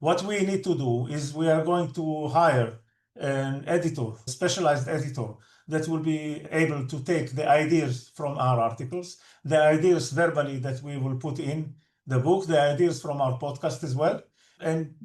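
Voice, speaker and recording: A middle-aged Israeli man, Hebrew his first language, speaks in a slightly high voice and keeps rephrasing as he explains things earnestly. The room gives his voice a noticeable echo. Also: measured pace, darkish tone. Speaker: book co-author and security expert. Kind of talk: explanatory interview answers. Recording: roomy echo.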